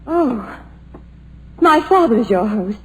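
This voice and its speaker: A nervous young woman for a radio play, anxious and animated in pitch. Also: slow, a little hiss or hum, rough recording. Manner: anxious, breathless. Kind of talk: 1940s radio acting.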